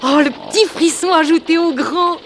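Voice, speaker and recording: High-voiced, coaxing flirt of a mistress. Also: female voice, normal speed, creaky, slight background noise. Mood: flirtatious, excited. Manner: gushing, cajoling, familiar speech. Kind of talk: 1960s French radio drama acting. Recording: old radio serial with music behind.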